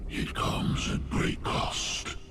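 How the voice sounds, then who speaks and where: An actor playing a colossal, ancient alien beast in a video game, grave and wise, with a gravelly, breathy growl and solemn, portentous delivery. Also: male voice. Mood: solemn, challenging. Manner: slow, solemn, portentous pronouncements. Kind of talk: video game cinematic acting. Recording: loud music behind the voice.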